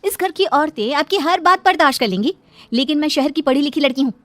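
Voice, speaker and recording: Woman acting defiant young daughter-in-law, very high voice. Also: quick pace, no echo, lightly acted. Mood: indignant. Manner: outspoken, indignant, questioning. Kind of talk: cartoon moral story dialogue.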